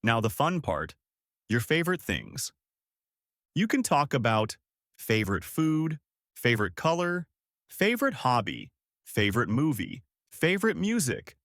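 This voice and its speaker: A man co-hosting a podcast English lesson, explaining example phrases in a clear, upbeat way. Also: medium pitch, very animated, measured pace, pauses often, a bit bright, dry recording, clean studio sound. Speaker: podcast co-host and English teacher. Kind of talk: scripted English lesson dialogue.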